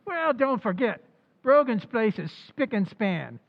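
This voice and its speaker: A man playing a sardonic tavern waiter in an old-time radio comedy recreation, with deadpan delivery. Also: very high voice, a little slow, creaky, dull audio, full character voice. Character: Eddie, dry sarcastic tavern waiter, man.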